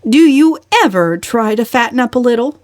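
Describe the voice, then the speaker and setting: A woman's voice: on the high side, animated, normal speed, darkish tone, dry recording, clean studio sound, voice pushed up, lightly acted. A woman voicing a blunt, disapproving middle-aged aunt with a cutting delivery in a storybook reading. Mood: scolding.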